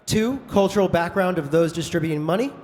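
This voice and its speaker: A man's voice: sits high, a little mellow. Joking male panel moderator in a hall. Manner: brisk, joking, informal. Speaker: debate moderator. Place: large conference hall. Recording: echoey conference hall.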